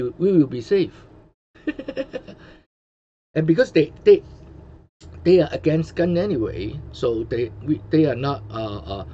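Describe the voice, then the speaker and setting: A man's voice: medium pitch, warm tone, husky. A man from Hong Kong with a Hong Kong accent, a Cantonese speaker hosting his own channel in English, chatting casually with many fillers and restarts. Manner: rambling, many fillers and restarts, chuckles often. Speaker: channel host. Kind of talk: casual commentary livestream. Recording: dull lo-fi sound with faint noise.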